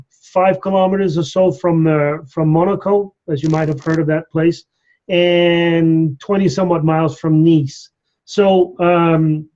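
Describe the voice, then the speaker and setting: A man's voice: on the high side, mellow, muffled recording. A man, a culinary instructor introducing himself in a live online class, chatty and often asking "right?". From Denmark.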